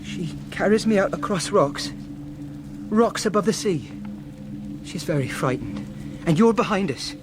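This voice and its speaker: High-voiced, insistent young stable boy. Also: lively intonation, a little slow, pauses often, music in the background. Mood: troubled, searching. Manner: earnest, questioning, insistent. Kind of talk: radio drama acting. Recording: faint background noise.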